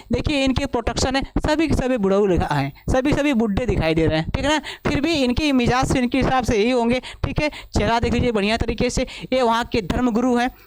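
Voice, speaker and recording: A male current affairs teacher giving an exam-prep lecture, hoarse from a long day of classes, who keeps asking himself questions and answering them. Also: very high-pitched, dry recording, rough recording. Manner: asks himself questions and answers them, repeats key points.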